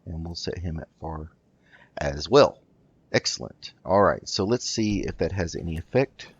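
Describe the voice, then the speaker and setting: A man's voice: low voice, expressive, normal speed, breathy edge, no echo, dull audio. A man giving casual video game commentary, thinking aloud in a hesitant way as he plays. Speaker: host of a video game roleplay let's play.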